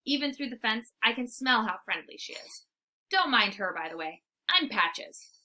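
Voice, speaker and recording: Woman doing a gentle, kindly female dog, high. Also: lively intonation, moderate pace. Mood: friendly. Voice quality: gentle, lovely voice.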